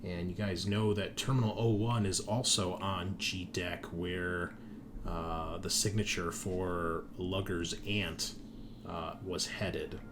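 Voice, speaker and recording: A man working as game master, giving a casual, halting recap of a tabletop game as he thinks aloud. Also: sits low, level tone. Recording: clear background noise.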